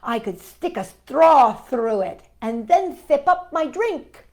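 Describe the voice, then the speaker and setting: High-voiced, animated woman reading to children. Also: takes it slowly. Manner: expressive, playful, asks listeners questions. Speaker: children's storytime host. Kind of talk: animated read-aloud and chat for young children.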